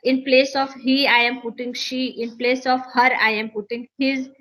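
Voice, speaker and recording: A woman's voice: high voice, little pitch movement, moderate pace, a bit bright, low-quality recording. A woman with an Indian accent whose first language is Maithili, an English teacher earnestly explaining fluency and grammar, often repeating and restarting phrases. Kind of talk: conversation practice advice.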